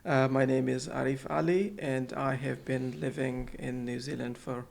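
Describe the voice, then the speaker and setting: A man talks as a podcast guest in an office, at a middle pitch for a man. His voice has a gravelly, creaky edge. He speaks hesitantly and often repeats words and fills gaps while finding his phrasing. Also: steady tone, moderate pace, a little mellow. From Pakistan. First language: Urdu. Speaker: guest, center manager. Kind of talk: casual podcast interview.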